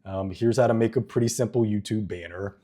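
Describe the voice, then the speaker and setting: A man with a deep voice talking earnestly to camera in a casual vlog, with plenty of ums and restarts, recorded indoors on a wireless microphone. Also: fairly even intonation, a little fast, mellow.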